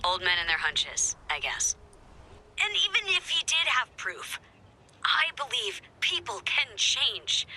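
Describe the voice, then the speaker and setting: A woman's voice: high-pitched, sharp tone, slight background noise, heavily acted, low-quality recording. A woman playing an upbeat, idealistic young resistance fighter in a video game, earnest and confiding in manner.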